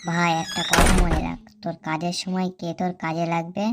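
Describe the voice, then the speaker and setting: A woman's voice: on the low side, flat delivery, fairly quick, very noisy. A woman speaking dialogue for a comedy cartoon. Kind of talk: cartoon comedy dialogue voicing several characters. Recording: loud music behind the dialogue.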